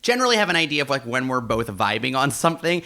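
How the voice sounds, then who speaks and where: A man's voice: mid-range voice, sing-song, quick pace, pushed and bright. A man co-hosting a podcast in casual chat, gushing and slangy, with "like" sprinkled throughout. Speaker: podcast co-host.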